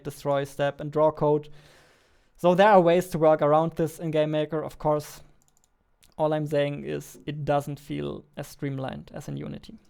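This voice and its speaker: A man's voice: a little high, vocal fry, mellow, smooth. A man in his twenties giving casual live commentary while coding, speaking into a close studio mic indoors. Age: 20s. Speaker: indie game developer, stream host. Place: small indoor room at a desk. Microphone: close studio mic on boom arm.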